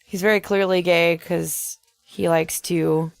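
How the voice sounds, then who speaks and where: A woman's voice: lowish voice, fairly even intonation, takes it slowly, creaky, no echo. A woman co-hosting a podcast in casual comedic brainstorming, with a blunt, dryly sardonic way of talking. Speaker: podcast co-host.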